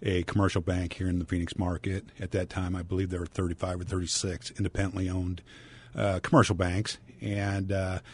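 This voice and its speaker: A man's voice: sits low, level tone, a little fast, slightly rough. A middle-aged man speaking as a guest on a radio talk show, businesslike with many fillers. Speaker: guest, bank president. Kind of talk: radio interview.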